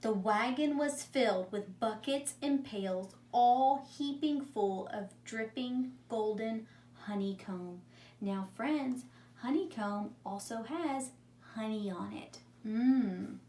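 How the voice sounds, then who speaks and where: A woman's voice: a little high, slow, dark tone. A woman teacher reading a story aloud to young children, using simple wording and putting questions to her listeners. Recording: echoey room, distant mic, faint noise.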